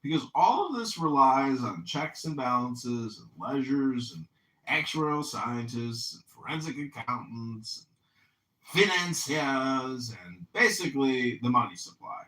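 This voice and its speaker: A man hosts a call-in podcast in a mocking, lecturing tone and drags out each question. His voice is bright and forceful. It sounds distant and roomy, as if the mic sits well away from him. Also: medium pitch, lively intonation. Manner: lecturing, sarcastic, drawn-out questioning. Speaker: podcast host.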